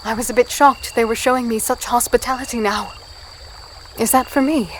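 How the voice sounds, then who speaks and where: Casting: actress as young human captive heroine, higher-pitched, hesitant and curious, fantasy audio drama with insect noise behind. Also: female voice, fairly even intonation, normal speed, close to an everyday voice, rough recording. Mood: polite, guarded. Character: guarded, curious young human woman, narrating her captivity. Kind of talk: first-person audio drama narration and dialogue.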